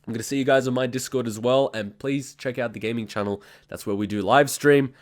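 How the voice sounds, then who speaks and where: A man's voice: medium pitch, fairly even intonation, fairly quick. A man, bluntly ranting and swearing as host of a reaction video. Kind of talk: casual reaction commentary.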